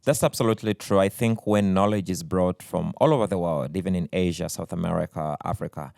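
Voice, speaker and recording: A man's voice: low voice, creaky, darkish tone, no room sound. A man from Uganda whose first language is Luganda, a musician talking thoughtfully with many fillers in a radio studio interview. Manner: thoughtful, unhurried, with frequent fillers. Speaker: musician guest.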